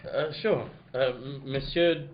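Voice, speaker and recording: Man playing an irritable, awkward young gentleman. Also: mid-range voice, takes it slowly, natural voice. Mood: irritated. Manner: hesitant, grumbling. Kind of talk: live comedic radio play reading. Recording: echoey dull live recording, faint noise.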